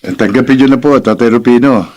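Humble Filipino husband played by a man for radio drama, mid-pitched, respectful, soothing, faint noise underneath. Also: fast, darkish tone, lightly acted. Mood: respectful, grateful.

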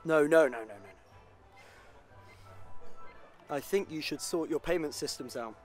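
A man plays a proud male politician in an audio drama, wary and defensive. His voice sits a little high, over some background music, and the delivery is naturalistic. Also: measured pace, lightly acted. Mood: indignant.

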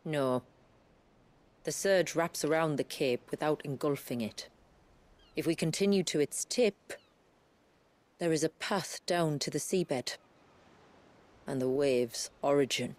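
A woman playing a proud, weary village elder in a video game, with a measured, solemn manner. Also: sits low, a little mellow. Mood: resolute. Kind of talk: video game cutscene acting. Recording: music playing behind the voice.

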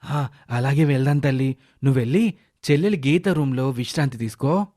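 A man voicing a caring middle-aged father and husband, earnest and reasoning, in an animated moral story. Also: medium pitch, moderate pace, natural voice. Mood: gentle.

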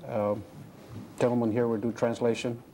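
A man's voice: medium pitch, level tone, normal speed, noisy background. A man chairing a city council meeting, calling for speakers in a formal, courteous manner. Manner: formal, courteous procedural phrasing.

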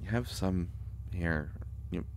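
A man playing an immortal vampire doctor in an intimate audio roleplay, a fussy, protective friend who scolds with fond, halting exasperation. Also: deep voice, vocal fry, a little hiss or hum, exaggerated voice. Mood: fussing.

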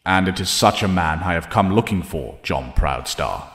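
A man playing a solemn, persuasive middle-aged professor and mentor in an audio drama, speaking in measured, formal phrases. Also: deep voice, expressive, fairly bright tone, breathy edge, heavily acted.

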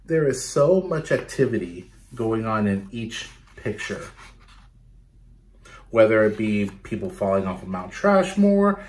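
A man in his thirties reading a picture book aloud for children, expressively, pausing to explain and ask questions. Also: medium pitch. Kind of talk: children's storytime read-aloud with asides and questions to young listeners. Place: small bedroom indoors.

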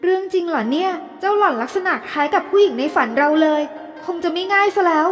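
Brief: woman voicing a bashful, clumsy teen girl, high and light. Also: fast, in a large hall, soft background music. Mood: flustered. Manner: flustered, self-blaming. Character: flustered clumsy teenage schoolgirl.

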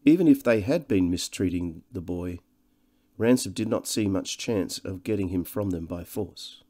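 A man's voice: low-pitched, expressive, moderate pace, mellow. A man reading literary narration as an audiobook narrator.

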